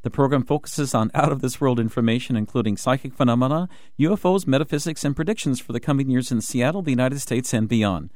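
A man hosting a radio talk show from the studio, talking in an excited rush with many restarts and fillers. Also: mid-range voice, fast talker, hardly any pauses, no room sound. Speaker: radio host.

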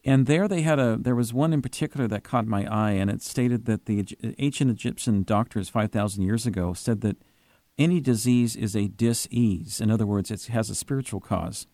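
A man hosting a radio interview, asking earnest, gently probing questions. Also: fairly low pitch, fairly quick, no echo. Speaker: radio host.

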